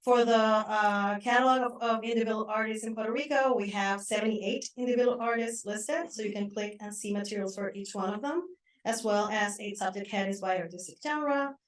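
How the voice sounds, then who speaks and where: A woman presenting at an academic conference, brisk and rushing to finish on time. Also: medium pitch, poor audio. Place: conference room.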